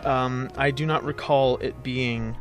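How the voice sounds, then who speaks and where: Low-key man rambling through game commentary. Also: fairly even intonation, measured pace, soft background music. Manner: hesitant, rambling explanations. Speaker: let's play host.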